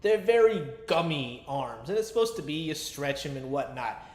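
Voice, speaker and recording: A man's voice: fairly high pitch, lively intonation, moderate pace, darkish tone, roomy sound. A man giving a casual, chatty toy review with a fan's enthusiasm and colloquial asides. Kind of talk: casual action figure review commentary.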